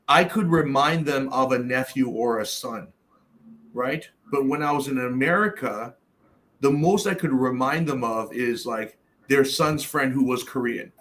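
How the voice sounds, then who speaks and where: A man's voice: mid-range voice, measured pace, a bit bright, slight background noise. A middle-aged man from Canada in a casual roundtable discussion, talking bluntly with plenty of swearing and fillers. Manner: blunt, profane, storytelling with many fillers.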